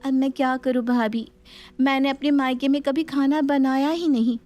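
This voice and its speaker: A woman's voice: sits high, steady tone, normal speed, a little mellow, natural voice. A woman voicing a sly, lazy young daughter-in-law, falsely meek and smug, in a family story.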